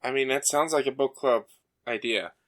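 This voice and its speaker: A man's voice: mid-range voice, normal speed, a bit bright. A man co-hosting a podcast, chatting loosely with lots of fillers and running through the night's agenda. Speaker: podcast co-host. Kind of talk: casual chat.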